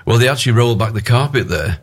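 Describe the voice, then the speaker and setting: An older man with a deep voice, a singer chatting as a guest in a radio studio, telling stories with many fillers and restarts. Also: expressive, rapid-fire. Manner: storytelling, hesitant with fillers. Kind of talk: casual radio interview chat.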